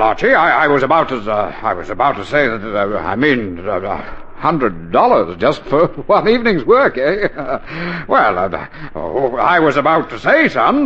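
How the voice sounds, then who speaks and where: A man playing a middle-aged, exasperated father with a pompous, lecturing manner in a 1940s radio sitcom. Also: on the high side. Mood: flustered. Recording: muffled 1940s radio recording.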